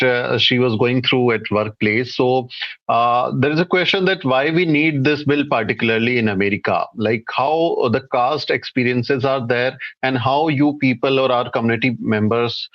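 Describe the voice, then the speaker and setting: A man with an Indian accent hosting a panel interview, speaking haltingly with restarts, on lo-fi call audio. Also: mid-range voice. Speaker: interview host.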